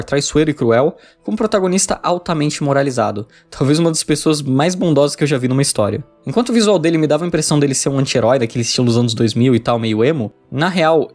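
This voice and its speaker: A man with a Brazilian accent giving scripted narration for a video essay. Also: a little high.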